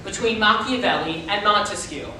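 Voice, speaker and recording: A woman's voice: mid-range voice, moderate pace, sharp tone. A woman giving an emphatic, theatrical scripted narration as a reader's theater performer. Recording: distant, very echoey room, faint noise.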